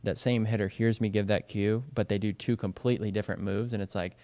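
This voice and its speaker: Young male coach, casual podcast talker, low-ish smooth voice, rambling and filler-heavy. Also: steady tone, quick pace, a little mellow. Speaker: baseball and softball coach, podcast co-host.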